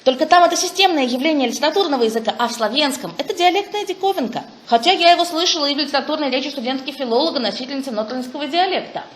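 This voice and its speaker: A fairly high-voiced woman presents research at a conference, talking with eager enthusiasm and little exclamations of delight. Her voice rings around an echoey room. Faint background noise sits under it. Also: lively intonation, very fast, few pauses. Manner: enthusiastic, exclaims over findings. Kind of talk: academic talk with Q&A.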